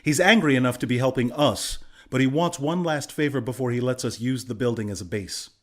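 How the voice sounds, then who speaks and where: A man plays a young griffin rebel from a video game, a former soldier. His medium-pitched voice is conversational and earnest. He speaks plainly, like someone explaining a plan to a comrade. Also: fairly quick, lightly acted. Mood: purposeful, explaining. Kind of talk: video game character dialogue.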